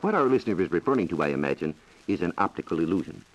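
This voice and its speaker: A man's voice: sits low, sing-song, rapid-fire, rough recording. A man explaining science from a script on a 1940s radio program, formal, precise and authoritative, heard on a vintage broadcast recording. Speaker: science reporter answering listener questions.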